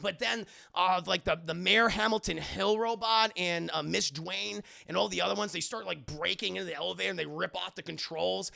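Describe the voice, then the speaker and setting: A man streamer giving a lively, profane scene-by-scene recap to a live chat, acting out bits and sound effects. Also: high voice, a little fast, vocal fry, hardly any pauses. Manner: excitable, profane, acts out characters and sound effects. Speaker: streamer and animation reviewer.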